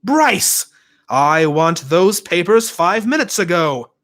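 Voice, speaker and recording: A man voicing an excitable, bossy middle-aged male teacher who barks orders at his class. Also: normal speed. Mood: impatient. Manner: shouting, bossy, high-pitched.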